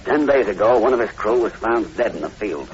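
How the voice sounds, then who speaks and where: Man acting a grim, low-voiced plantation manager. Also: animated, fairly quick, close to an everyday voice. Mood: uneasy, foreboding. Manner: earnest, foreboding, clipped. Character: grim, uneasy male plantation manager, long-time islander. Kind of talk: 1940s radio drama acting. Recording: muffled, very noisy old radio recording.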